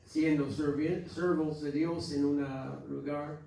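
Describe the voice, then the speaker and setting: American pastor preaching in an echoey hall. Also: male voice, on the high side, level tone, mellow. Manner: earnest, exhorting with halting restarts. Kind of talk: Sunday school teaching. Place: church hall. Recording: echoey church hall from far off.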